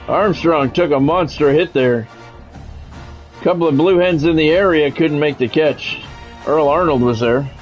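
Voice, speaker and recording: Higher-voiced man calling football over music. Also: muffled recording. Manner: offhand, conversational, swears freely. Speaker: streamer calling play-by-play of a video game. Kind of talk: live football commentary mixed with casual chat.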